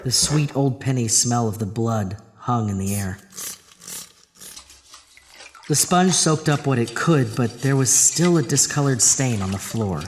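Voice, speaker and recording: A man's voice: mid-range voice, long reverb tail, slight background noise, lightly acted. A man acting as a troubled husband who narrates his own horror story in an audio drama. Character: Arthur, troubled married man haunted by a drain. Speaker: narrator and lead actor.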